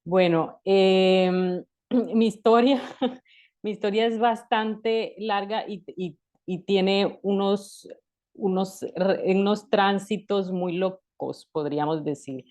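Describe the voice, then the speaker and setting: A woman in her thirties from Colombia, a guest in an interview, talking in a reflective way with restarts and soft laughs. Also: mid-range voice, slow pace. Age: 30s. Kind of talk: conversational interview.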